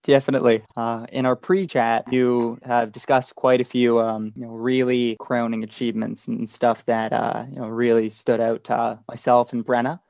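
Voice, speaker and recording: A man's voice: medium pitch, creaky, darkish tone, no room sound. A man hosting an interview, thanking the guest and asking conversational questions. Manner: appreciative, conversational. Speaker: interview host. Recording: dry, dull audio.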